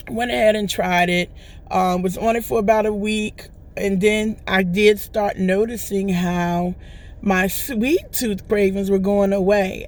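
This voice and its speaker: A woman with a hoarse voice that is still recovering, talking casually and at length to her vlog viewers and often asking "right?". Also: medium pitch, a little mellow. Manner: rambling, chatty, often checks in with "right?". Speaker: vlogger. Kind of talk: casual testimonial chat.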